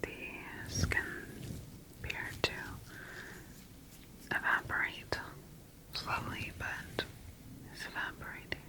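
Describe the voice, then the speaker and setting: A woman whispering breathily in an ASMR sci-fi roleplay as a scientist studying her creation, speaking deliberately with pauses. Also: rough recording. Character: quietly rogue female scientist studying her engineered creation. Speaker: ASMR performer.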